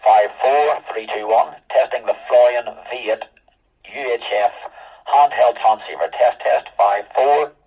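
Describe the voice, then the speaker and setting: A man's voice: mid-range voice, measured pace, slightly rough. A man making a test call over a walkie-talkie radio. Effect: walkie-talkie transmission. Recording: dull, overdriven walkie-talkie speaker.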